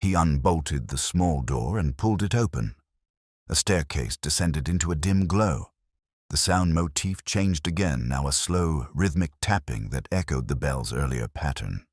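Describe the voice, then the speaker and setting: A man with an extremely low voice narrates a first-person mystery story. His delivery is measured and literary, with widely varied intonation. The sound is dry, with hardly any room on it. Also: lively intonation, husky, dry recording. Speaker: audiobook narrator.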